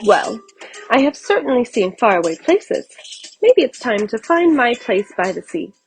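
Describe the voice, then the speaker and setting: A woman voicing a genteel, cheerful aging lady in a children's storybook, in an expressive way full of wonder. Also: fairly high pitch, measured pace, faint music behind, voice pushed up, poor audio. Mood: reflective. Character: genteel, cheerful aging woman who loves travel and flowers.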